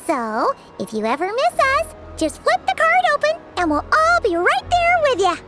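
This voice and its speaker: An actress voicing a bubbly, warm-hearted little girl in a video game, cheerful and eager. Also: very high voice, huge pitch swings, moderate pace, over loud music, full character voice. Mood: excited, affectionate. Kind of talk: video game cutscene voice acting.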